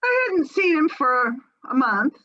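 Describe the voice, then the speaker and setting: A woman's voice: sits high, sing-song, measured pace, pushed and bright, soft background music, exaggerated voice. An actress playing a wistful divorced woman in a reflective, confiding readers theater monologue. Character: wistful divorced woman recalling lost love. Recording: slightly dull video-call audio.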